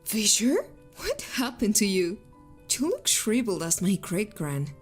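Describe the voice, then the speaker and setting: A man's voice: high-pitched, normal speed, soft background music, voice pushed up. Man putting on a teasing ageless fae woman.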